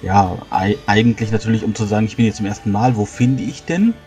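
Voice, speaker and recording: A man's voice: on the low side, quick pace, mellow. A man talking casually as a player in a tabletop role-playing game discussion. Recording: music under the voice. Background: background music.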